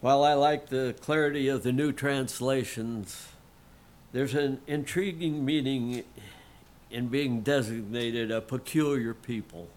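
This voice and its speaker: Slow, throat-clearing lay preacher. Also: male voice, mid-range voice. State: frog in his throat, clearing it. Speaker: lay pastor. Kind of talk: sermon.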